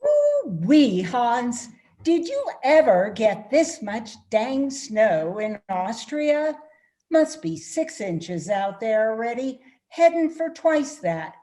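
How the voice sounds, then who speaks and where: A woman acts an upbeat young female electrical worker in a radio play. Her voice is fairly high and swings widely in pitch. She speaks slowly, with folksy, exclamatory chattiness. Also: very animated, dark tone. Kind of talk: amateur readers' theatre radio play.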